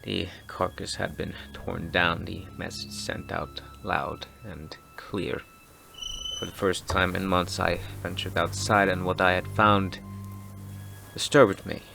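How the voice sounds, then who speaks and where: Male actor as haunted zealous doctor, very low gravelly voice, halting brooding delivery, audio drama diary monologue, prominent music behind. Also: steady tone, exaggerated voice, rough recording. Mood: fearful, haunted. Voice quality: gravelly, low, breathy at times. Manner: brooding, deliberate, broken into halting phrases. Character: haunted, obsessive male doctor turned zealous ruler recounting childhood nightmare. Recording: loud music bed under the voice.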